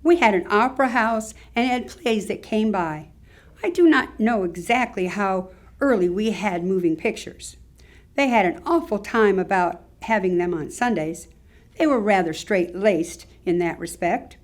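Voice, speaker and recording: An actress playing an elderly small-town Southern woman, giving wry, measured recollections in a staged reading. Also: mid-range voice, lively intonation, lightly acted. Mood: wry reminiscing. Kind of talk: staged reading of oral history monologue.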